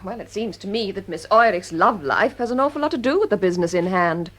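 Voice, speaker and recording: An actress playing a smart, inquisitive detective's assistant in an audio drama, playful and probing. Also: female voice, medium pitch, expressive, fast talker, close to an everyday voice. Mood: teasing, curious.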